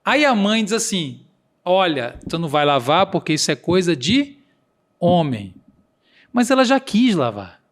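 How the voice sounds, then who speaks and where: A man's voice: high voice, very animated, measured pace. A man with a regional accent, a podcast guest explaining earnestly and acting out quoted dialogue. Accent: Brazilian Portuguese regional accent.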